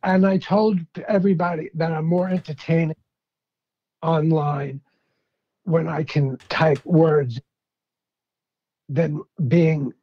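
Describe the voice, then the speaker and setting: A man in his sixties talks casually in a thick New York accent. His voice is gruff and husky, set a little high for a man. It comes through a dull, lo-fi phone line. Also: steady tone, slow pace, pauses often. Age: 60s. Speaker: podcast guest, rock fan. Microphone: phone call.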